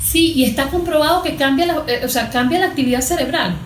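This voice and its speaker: A Venezuelan woman with a fairly high voice gives explanatory interview answers. She teaches as she talks, posing questions and then answering them. The room adds a clear echo around her voice. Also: quick pace, a little hiss or hum. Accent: Venezuelan Spanish. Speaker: guest therapist being interviewed. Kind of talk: explanatory interview talk with everyday examples. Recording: roomy, echoing room sound.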